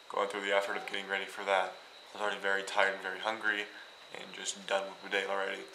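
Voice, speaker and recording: A young man from Iowa rambling and joking as he vlogs from a hotel room, now and then bursting out loud. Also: fairly low pitch, roomy sound, a little hiss or hum, low-quality recording. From Iowa, United States. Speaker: vlogger. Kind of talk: casual travel vlog.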